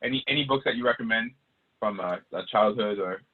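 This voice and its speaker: A man's voice: lowish voice, a little fast. A man hosting a casual chat, informal in his questions and short interjections. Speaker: host, interviewer. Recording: tinny phone-like call audio.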